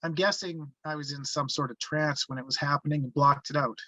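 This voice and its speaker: A man reading a haunted, reflective first-person narrator looking back on his youth, halting in places, on slightly muffled audio. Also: a little high, steady tone, bright, forceful tone, dry recording. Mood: reflective, regretful. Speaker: actor reading the narrator at a table read.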